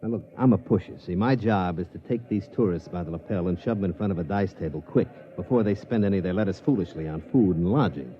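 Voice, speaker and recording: A man's voice: low-pitched, fast, hardly any pauses, natural voice. An actor playing a streetwise male casino tout who talks in slang in a 1950s radio drama. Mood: wheedling. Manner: slangy, conspiratorial tough talk. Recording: muffled vintage radio recording.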